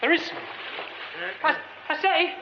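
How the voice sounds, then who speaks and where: A man's voice: fairly high pitch, very animated, unhurried. An actor playing a young theatre man who mutters to himself wryly and stammers under strain. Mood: panicked. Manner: muttering to himself, wry, later frantic stammering. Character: young male theatre man exploring a derelict theatre. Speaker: lead character. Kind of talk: radio drama acting. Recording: dull old radio recording with hiss.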